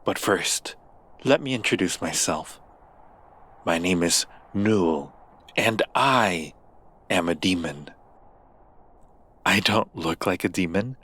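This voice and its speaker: Obsessive demon prince in human form, played by a man: low, slow, deliberate, tenderly menacing seducer for ASMR roleplay. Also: animated, fairly bright tone, exaggerated voice. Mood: smooth, reassuring.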